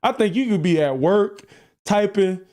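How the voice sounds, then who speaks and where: A man with a deep voice hosting a live reaction stream, chatty and laughing at his own jokes. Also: animated, normal speed, warm tone. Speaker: livestream reaction host. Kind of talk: casual live-stream chat.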